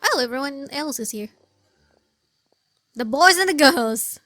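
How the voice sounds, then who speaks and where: Chatty, high-voiced woman gaming commentator. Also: measured pace, dry recording, clean studio sound, soft background music. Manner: expressive, chatty, rambling reactions. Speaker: gameplay streamer, commentator. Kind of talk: casual reaction commentary over a game.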